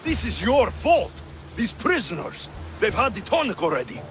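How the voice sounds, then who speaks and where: An actor voicing a bold, wry, commanding Greek mercenary captain in a video game. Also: male voice, sits high, big pitch swings, normal speed, fairly bright tone, slight background noise. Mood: threatening. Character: bold, flirtatious, stern male Greek mercenary ship captain. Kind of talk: video game character acting.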